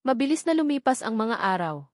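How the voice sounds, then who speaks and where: A woman's voice: on the high side, sing-song, fairly quick, darkish tone, dry recording, clean studio sound. A woman with a Filipino accent narrating a first-person romance novel from a script. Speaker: audiobook narrator.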